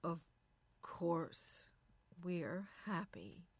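A woman's voice: low-pitched, normal speed, a bit bright, husky, dry recording, full character voice. A woman voicing a weary, exasperated husband in a story reading, firm and remonstrating. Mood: tired, soothing. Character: weary, exasperated husband, reasonable but resentful.

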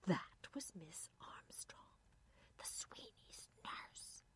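A woman's voice: on the high side, sing-song, moderate pace, voice pushed up. A woman voicing an anxious, curious wife in a story, speaking emphatically and insistently. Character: anxious, curious middle-class wife, easily astonished.

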